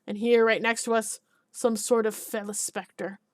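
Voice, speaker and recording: A woman voicing a small, podgy, hot-tempered village alderman who blusters and shouts. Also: a little high, little pitch movement, measured pace, no room sound, studio quality, close to an everyday voice. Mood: gloomy.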